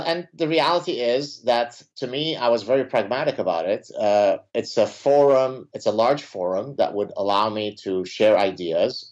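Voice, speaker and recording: A low-voiced man, raised in Lebanon and a native Arabic speaker, talking in an animated way and leaping up in pitch to stress his points. He fills his pauses with frequent uhs. The sound is a bit dull and lo-fi. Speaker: podcast guest, academic. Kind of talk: conversational interview. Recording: dull lo-fi audio.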